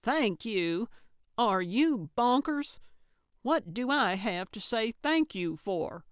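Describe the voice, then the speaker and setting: A feisty older woman with a sentimental streak, as a woman narrator reads her. She is blunt and plainspoken, with a smooth, lowish voice in a dry audiobook recording. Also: slow, dry recording. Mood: indignant. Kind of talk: audiobook character voice.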